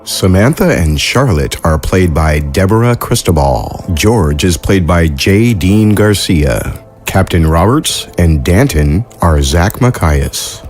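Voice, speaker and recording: A man's voice: low-pitched, expressive, takes it slowly, darkish tone. A man hosting an audio fiction podcast, reading scripted credits as its producer. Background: music.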